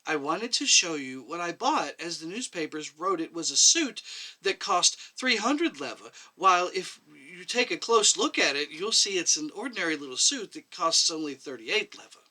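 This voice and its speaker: A man voicing a nervous, apologetic middle-aged woman medic, halting and defensive, in a short story reading. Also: on the high side, big pitch swings, bright, forceful tone, voice pushed up, heavily acted, low-quality recording. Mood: scared, defensive.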